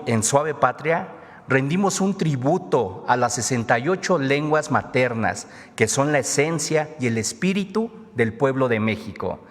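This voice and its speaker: A man giving a formal, solemn address at a government press conference. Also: mid-range voice. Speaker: government spokesman presenting a segment. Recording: long hall reverb.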